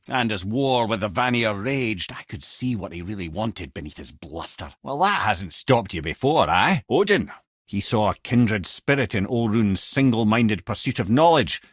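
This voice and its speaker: A man's voice: mid-range voice, breathy edge, dry recording, studio quality. An actor voicing a witty, worldly older male advisor in a video game, with a Scottish accent and a wry, conversational way with old-fashioned phrases. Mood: storytelling, reflective. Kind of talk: video game character dialogue.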